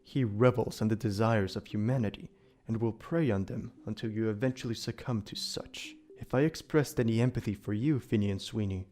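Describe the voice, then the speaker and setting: A man playing a calm, solemn immortal demon protector in an audio drama, speaking in formal, measured, archaic phrasing. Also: on the low side, soft background music, lightly acted. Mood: grave. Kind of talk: audio drama character acting.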